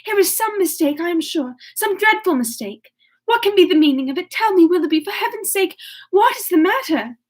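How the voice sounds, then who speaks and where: Woman acting a desperate, very high-voiced young heroine. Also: animated, fairly quick. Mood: wild anxiety. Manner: exclamatory, pleading, urgent. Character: passionate young woman, heartsick, desperate, impetuous.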